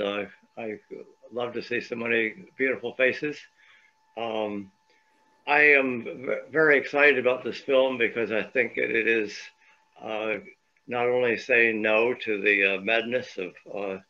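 A man's voice: mid-range voice, vocal fry. A man from San Francisco, co-founder of a peace organization, speaking earnestly with many fillers over a video call. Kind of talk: online panel remarks. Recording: dull lo-fi call audio.

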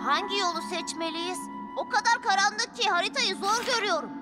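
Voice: very high voice, a little fast. An actor voicing a cheerful, adventurous young train engine in an upbeat, bold, encouraging way for a children's cartoon dub. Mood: eager, encouraging. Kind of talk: animated children's series dub. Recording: loud music behind the voice. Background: loud cartoon music.

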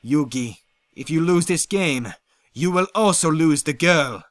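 A man voicing a cold, formal, menacing mystic villain in an amateur anime fan dub, speaking gravely and deliberately. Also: a little high, lively intonation, no room sound. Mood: solemn, menacing. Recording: loud music under the voice.

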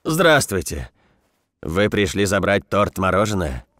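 Gentle, obliging grandpa for a kids' cartoon, lively intonation. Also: male voice, low voice, measured pace, no room sound, clean studio sound, heavily acted. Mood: helpful. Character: kindly, obliging grandfather with grey hair. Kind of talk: dubbed children's cartoon acting.

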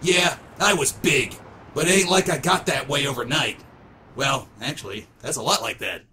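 A man's voice: mid-range voice, moderate pace, bright, forceful tone, a little hiss or hum, natural voice. A man playing a brash, bitter washed-up rocker who boasts and tells his story in slangy, theatrical style for a comic dub. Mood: bitter, boastful. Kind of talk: comic-book dub narration. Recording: music playing behind the voice.

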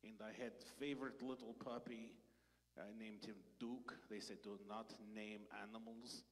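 A man playing a bumbling foreign male ex-scientist in a church skit, speaking broken English in a deadpan, sighing way. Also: fairly low pitch, normal speed, close to an everyday voice. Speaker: children's skit performer. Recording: long hall reverb.

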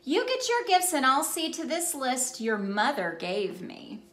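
A matter-of-fact storybook father read by a woman briskly sets his son straight. The voice stays high and bright, with lively rises and falls. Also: roomy sound, full character voice. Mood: chiding.

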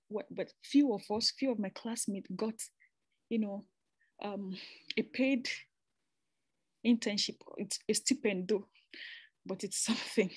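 A young woman from Nigeria talks through her slides like she's chatting with a friend, restarting sentences and tossing in asides. Her voice sits a little high, and the recording is close and dry, with no room sound. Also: darkish tone, no echo. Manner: chatty, repeats and restarts phrases, conversational asides. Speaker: presenter explaining a master's program. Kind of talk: informal slide presentation.

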